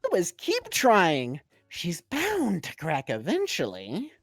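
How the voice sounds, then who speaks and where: A woman voicing an excitable, hopeful young cartoon pony with an urgent manner. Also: on the low side, slow, no room sound, faint music behind, exaggerated voice. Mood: determined.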